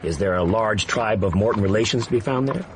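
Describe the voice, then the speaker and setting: An actor playing an inquisitive American senator visiting England, asking probing questions in a radio drama. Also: male voice, fairly low pitch, fairly quick, background noise, lightly acted. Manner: curious, probing questions, dry remarks. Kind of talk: BBC radio drama acting.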